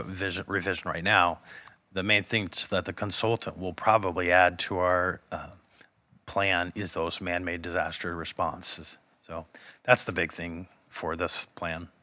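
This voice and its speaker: A man with a slightly raspy voice, presenting to a city council through a mask, hesitant with many ums and restarts. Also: low-pitched, bright, forceful tone, no room sound. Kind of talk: meeting presentation. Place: council chamber. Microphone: wearing a mask.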